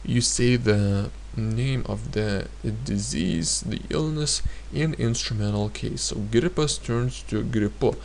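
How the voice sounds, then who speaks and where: A man teaching a grammar lesson in a hesitant way, pausing often and repeating each example sentence. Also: medium pitch, creaky, darkish tone, a little hiss or hum. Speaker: language teacher.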